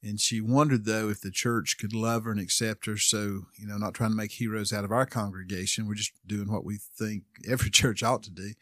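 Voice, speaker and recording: A man's voice: fairly low pitch, a bit bright, no room sound, clean studio sound. A man with an American accent talking as a pastor in a plain-spoken, conversational manner.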